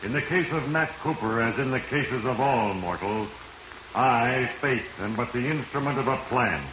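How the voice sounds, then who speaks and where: An actor playing Fate, a grave all-knowing narrator passing solemn, deliberate judgement in a 1940s radio mystery. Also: male voice, medium pitch, lightly acted. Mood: solemn, moralizing. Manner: solemn, deliberate, ominous pronouncements. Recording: muffled, echoey, noisy 1940s radio recording.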